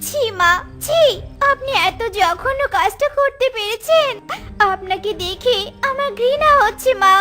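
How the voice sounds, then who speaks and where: A woman plays an upright young daughter-in-law in a cartoon drama. The voice is extremely high, emphatic and earnest, with clear music behind it. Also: expressive, a little slow, bright, forceful tone, heavily acted. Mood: disgusted. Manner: emphatic, pleading, melodramatic. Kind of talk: cartoon story voice acting.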